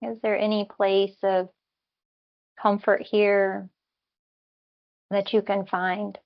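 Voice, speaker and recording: A woman meditation teacher speaks very slowly, leaving long pauses between short phrases. Her instructions are gentle and unhurried, as in a guided body scan. The recording is slightly dull and lo-fi, with her voice at a medium pitch. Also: level tone.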